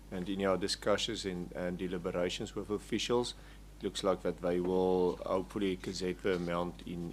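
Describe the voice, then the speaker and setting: Deep-voiced official, a man. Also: slight background noise. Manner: careful, formal briefing. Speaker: municipal director. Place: council chamber.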